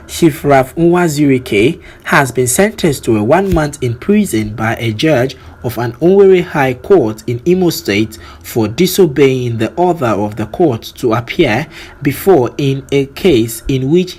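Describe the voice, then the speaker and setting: A man with a Nigerian accent reads scripted news as a presenter, in a formal, measured delivery. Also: mid-range voice, a little mellow, slightly rough, poor audio.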